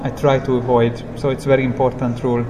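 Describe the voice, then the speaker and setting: A man who speaks English as a non-native language, telling travel stories as a guest in a casual interview, in simple, halting, reflective phrases. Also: medium pitch, little pitch movement. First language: not English. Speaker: interview guest, long-term hitchhiking traveller. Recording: clear background ambient noise.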